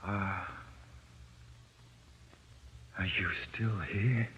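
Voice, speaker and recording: A man's voice: fairly low pitch, level tone, unhurried, slightly rough. An actor playing a frightened jailed gangster in an old-time radio crime drama, with slangy, ungrammatical tough-guy talk that cracks into pleading, on a muffled old recording. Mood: terrified, pleading. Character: frightened, panicky jailed gangster, afraid of the dark.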